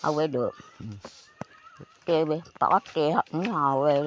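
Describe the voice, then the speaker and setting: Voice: medium pitch, extremely slow, highs cut off, slight background noise. A person telling a folk tale aloud in a conversational way. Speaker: folk storyteller.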